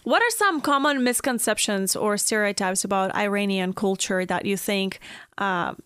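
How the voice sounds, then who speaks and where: A woman's voice: mid-range voice, normal speed, fairly bright tone, smooth, no echo, studio quality. A woman hosting a podcast, interviewing a guest and encouraging her with frequent murmurs of agreement. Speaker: podcast host.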